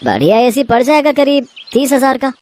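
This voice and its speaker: A woman's voice: high voice, very animated, moderate pace, darkish tone, a little hiss or hum. A woman voicing a frustrated 25-year-old son in a cartoon story, pleading and exasperated. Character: young man of 25, frustrated son.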